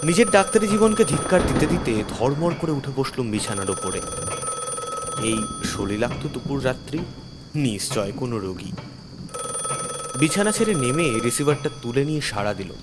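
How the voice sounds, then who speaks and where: A man's voice: mid-range voice, very animated, a little fast, heavy background noise. A man narrating a horror story in the first person as a doctor, theatrical and suspenseful.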